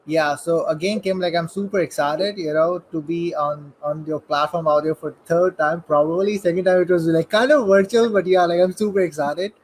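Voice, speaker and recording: A man's voice: fairly high pitch, steady tone, normal speed, hardly any pauses, slight background noise, rough recording. A 21-year-old man from Saudi Arabia, a guest telling his story in a casual interview. He talks eagerly and rambles, peppering his speech with "like" and "you know". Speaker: guest entrepreneur.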